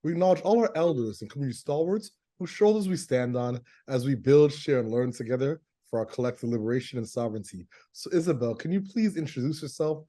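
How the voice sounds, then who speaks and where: A man's voice: medium pitch, lively intonation, fast, hardly any pauses, warm tone. A man hosting an interview, gracious and ceremonial in his welcome and questions. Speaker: interview host.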